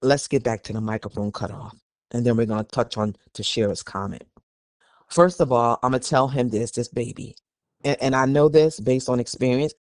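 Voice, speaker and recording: A podcast host speaks at a medium pitch into a close mic with very little room sound. The delivery moves between reading an article and conversational commentary. Opinions come across candid and emphatic. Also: normal speed, a little mellow, no room sound.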